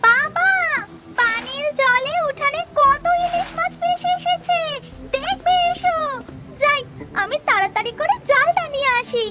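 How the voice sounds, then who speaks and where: A woman's voice: very high-pitched, animated, a little slow, noisy background. A woman voicing an eager, caring little village girl in a cartoon. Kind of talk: cartoon voice acting. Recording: loud music over the voice.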